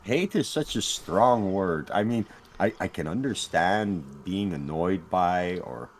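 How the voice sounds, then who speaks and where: A man's voice: deep voice, animated, takes it slowly, slight background noise. A Dutch man in casual chat, native Dutch speaker, who talks hesitantly and repeats words. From Netherlands. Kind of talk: casual group chat while gaming.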